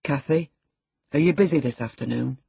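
Woman doing a sullen jealous farm lad for an audiobook, low n husky, surly, pushy. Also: normal speed, dark tone, dry recording, full character voice. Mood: suspicious, probing. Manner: surly, insistent. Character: sullen, morose, jealous sixteen-year-old boy, rough farm labourer.

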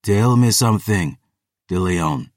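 A man's voice: on the low side, lively intonation, slow, studio quality. A man voicing a cold, proud, mocking undead warlord in an audiobook, with archaic, imperious phrasing. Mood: cold taunting. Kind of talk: dramatic audiobook fantasy dialogue. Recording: roomy echo, distant from mic.

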